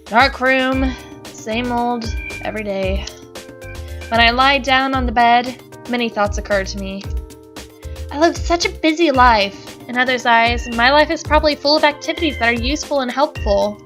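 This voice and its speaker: A woman's voice: fairly high pitch, normal speed, a bit bright, soft background music. A young woman narrating a visual novel as a let's play host, reading dramatically and dropping sarcastic quips. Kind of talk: reading game text aloud with wry asides.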